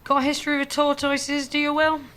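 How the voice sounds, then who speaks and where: An actress playing a blunt, flippant, sarcastic British office worker in a scripted audio comedy. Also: female voice, high voice, monotone, a little fast, fairly bright tone. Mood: sardonic. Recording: clear street noise with siren behind.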